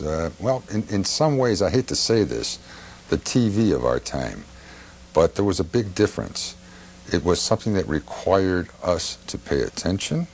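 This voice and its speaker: A male talk show host with a low voice speaks in a halting, meandering, informal way, circling back over his words. A faint hum sits behind him. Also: darkish tone. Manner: halting, meandering, repeats himself. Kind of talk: informal interview introduction.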